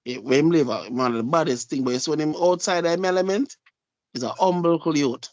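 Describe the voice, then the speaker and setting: Man, Jamaican accent, patois storytelling, fairly high voice, dry close sound. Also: brisk, dry recording, highs cut off. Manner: storytelling, heavy patois, repeats phrases. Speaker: podcast co-host. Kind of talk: casual chat.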